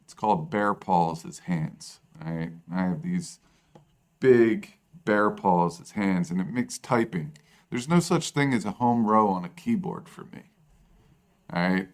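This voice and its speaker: A man co-hosting a podcast in casual chat, with a low bassy voice and a self-deprecating, rambling way of talking. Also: a little slow. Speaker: podcast co-host.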